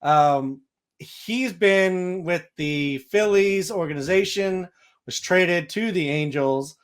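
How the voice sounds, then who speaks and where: A man's voice: high-pitched, takes it slowly, clear, resonant tone. A man hosting a sports podcast, giving casual, matter-of-fact baseball analysis.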